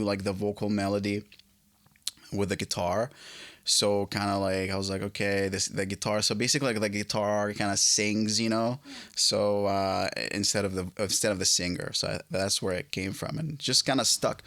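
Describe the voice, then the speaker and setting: Halting man from Egypt, low voice. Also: steady tone, fairly bright tone, no room sound. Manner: halting, many fillers and restarts. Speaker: guest guitarist. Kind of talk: casual interview.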